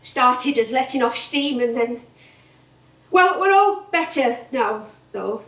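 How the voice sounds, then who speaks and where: High-voiced, chatty old music hall actress. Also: female voice, expressive, slow, fairly bright tone, exaggerated voice. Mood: subdued, guarded. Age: older. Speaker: stage actress. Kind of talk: theatre acting with music hall singing. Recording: roomy theatre stage, distant microphone.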